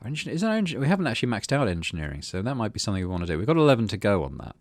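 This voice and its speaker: A man's voice: lowish voice, racing. A man hosting a gaming channel, reading game narration aloud expressively and adding casual commentary. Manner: expressive storyteller reading with asides.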